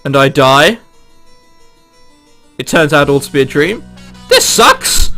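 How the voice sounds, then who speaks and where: A man's voice: fairly high pitch, wildly swooping pitch, slow pace, vocal fry, pushed and bright, soft background music. A man who is a let's player reading a visual novel aloud dramatically, with exclamatory, sweary joking commentary.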